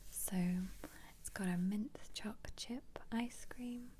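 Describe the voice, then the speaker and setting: A woman speaking as an ASMR host in a gentle whisper, musing casually and without hurry as she flips through a book. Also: fairly high pitch, takes it slowly. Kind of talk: soft-spoken ASMR book flip-through.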